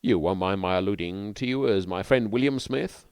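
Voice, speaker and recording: A man's voice: fairly low pitch, moderate pace, a little mellow, smooth, no echo, studio quality, natural voice. A man voicing a melancholy young New York newspaperman who talks in a wordy, dryly ironic way. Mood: wry advising.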